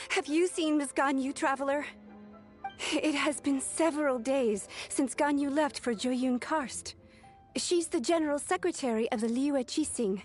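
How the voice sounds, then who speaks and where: Worried young female secretary, high voice, courteous, earnest, video game dialogue, faint music. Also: measured pace.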